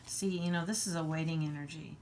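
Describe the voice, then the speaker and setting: Hoarse low-voiced woman reading tarot. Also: steady tone, a little mellow. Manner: halting, many ums, clears throat often. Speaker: tarot reader.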